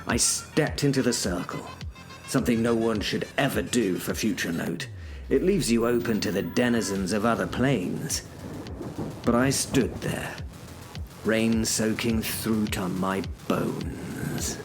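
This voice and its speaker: A man's voice: fairly low pitch, a little slow, over music. A man narrating a horror audio drama in the first person, brooding and dramatic.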